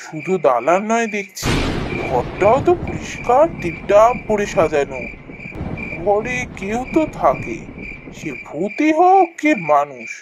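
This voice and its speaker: Man acting a high-voiced young Bengali cowherd. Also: very animated, measured pace, noisy background. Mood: curious. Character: young village cowherd, good-natured, worried, muses aloud. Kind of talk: cartoon voice acting, thinking aloud.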